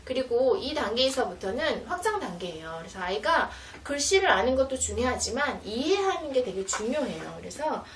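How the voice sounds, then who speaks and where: High-pitched woman explaining in a roomy space. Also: big pitch swings, normal speed, hardly any pauses. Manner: patient, step-by-step, acts out mother-child example dialogue. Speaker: educator presenting teaching materials. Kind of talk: informal explanatory product demonstration for parents. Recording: roomy, distant mic, faint noise.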